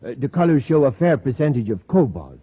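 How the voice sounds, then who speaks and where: An actor playing an eager, fussy meteorite professor, polite and hesitant, in 1950s radio drama style. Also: male voice, mid-range voice, sing-song, fairly quick, husky. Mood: scholarly. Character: eager, excitable male professor, a meteorite expert. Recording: tinny lo-fi vintage radio recording.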